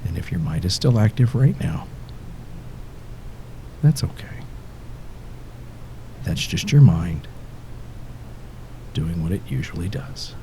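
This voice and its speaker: A man narrating a guided sleep hypnosis session in a soothing, measured way, pausing between short phrases and letting endings drop softly toward a whisper. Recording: steady background noise, low rumble and ticking. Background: ticking clock-like rhythm.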